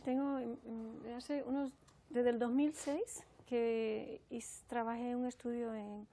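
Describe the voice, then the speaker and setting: A woman's voice: a little high, flat delivery, slow, dark tone. A woman from the Republic, answering reflectively as an academic interview guest, often adding tag questions. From Dominican Republic, raised also in New York. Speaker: sociology professor, interview guest.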